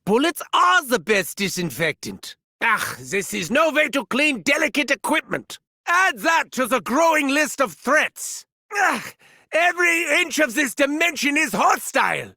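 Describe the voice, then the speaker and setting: German mad scientist, German accent, theatrical, mocking, gleeful cruelty, wildly swooping voice, slow drawl. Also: male voice, very high voice, very animated, sharp tone, no room sound, clean studio sound, heavily acted. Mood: sardonic. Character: manic, sardonic German mad scientist, adult man. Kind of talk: video game voice lines.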